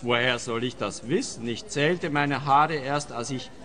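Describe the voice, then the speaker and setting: A man playing an agitated, paranoid complainant in a radio play, indignant and halting, repeating his words, in a reverberant hall. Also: mid-range voice, a bit bright, lightly acted. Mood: flustered. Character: agitated, paranoid, whiny bald male complainant. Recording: long reverb of a large hall.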